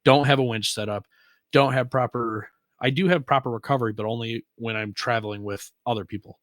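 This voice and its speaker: A man's voice: medium pitch, fairly quick, fairly bright tone, no room sound, studio quality. An American man co-hosting a casual off-roading podcast, talking in an informal, slangy way with occasional swearing. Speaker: podcast co-host. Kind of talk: casual conversation about off-roading.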